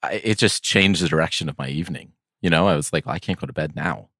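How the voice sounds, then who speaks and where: A man co-hosting a podcast in casual banter, thinking aloud in a halting, rambling way. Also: very deep voice, a bit bright, no echo, clean studio sound. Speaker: podcast co-host.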